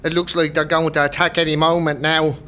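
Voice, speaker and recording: An actor with an Irish accent playing a stoical, realistic rebel lookout in an audio play, terse and matter-of-fact. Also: male voice, on the high side, flat delivery, a little fast, bright, forceful tone. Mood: grim realism. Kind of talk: historical audio drama acting. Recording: faint background noise.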